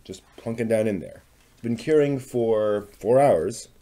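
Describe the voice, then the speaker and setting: A man's voice: lowish voice, animated, unhurried, warm tone. A man talking casually through a hands-on craft demonstration, muttering tentatively to himself as he works. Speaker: craft vlog host. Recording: clear background noise with clicking.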